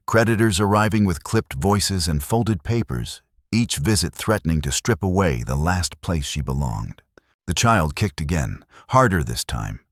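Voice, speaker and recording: Very deep-voiced male Western storyteller. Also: no room sound, clean studio sound. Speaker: storyteller, narrator. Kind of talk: scripted dramatic Western story narration.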